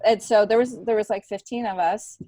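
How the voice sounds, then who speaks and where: A woman's voice: mid-range voice, steady tone, fairly quick, mellow, dry recording. Middle-aged American woman, dry close sound. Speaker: podcast guest, stand-up comedian. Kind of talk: casual conversational storytelling.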